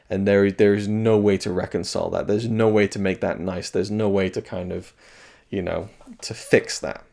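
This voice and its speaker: Man, low gravelly voice, slightly hoarse, rambling game commentary, lots of restarts. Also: darkish tone. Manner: rambling, thoughtful, many restarts and fillers. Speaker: video game reviewer. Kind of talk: casual solo commentary.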